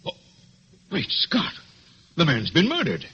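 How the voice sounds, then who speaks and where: An actor playing a gruff, suspicious police inspector, stern and blunt, in a radio drama. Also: male voice, on the high side, huge pitch swings, a little slow, bright, forceful tone, full character voice. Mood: alarmed, accusing. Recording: muffled, slightly hissy old radio recording.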